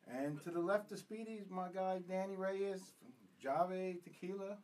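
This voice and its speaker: A man hosting a talk show, originally from Puerto Rico, chatting casually with many ums and pauses. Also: sits high. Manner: relaxed, hesitant with frequent ums. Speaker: podcast host. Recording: distant mic, faint room noise.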